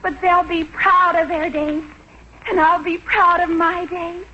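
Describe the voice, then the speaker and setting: A woman's voice: very high-pitched, little pitch movement, unhurried, pushed and bright, exaggerated voice. An actress playing an earnest, gushing American teenage girl in a 1940s radio comedy. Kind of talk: 1940s radio sitcom acting. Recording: dull, faintly noisy 1940s radio recording.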